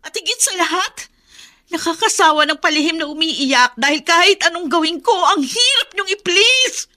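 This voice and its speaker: A high, bright-voiced young wife in a radio drama. She is fed up and defiant, with hurt and indignation running through her delivery. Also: expressive, moderate pace, exaggerated voice. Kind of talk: Filipino radio drama acting.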